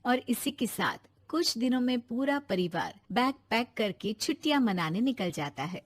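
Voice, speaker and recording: Female narrator for scripted moral tales, voice on the high side. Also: big pitch swings, normal speed, slightly rough.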